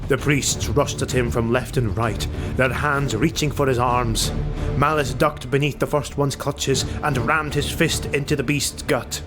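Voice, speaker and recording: A man's voice: mid-range voice, fairly even intonation, a little slow. A man narrating a fantasy audiobook in a dramatic, scripted style. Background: music.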